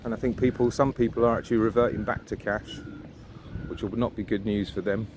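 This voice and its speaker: Low-voiced man, musing outdoors. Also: fairly even intonation, brisk. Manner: musing, with rhetorical questions. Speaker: vlogger. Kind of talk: casual reflective monologue while walking. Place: outdoors on a riverside walk. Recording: outdoor ambient noise, birds and traffic.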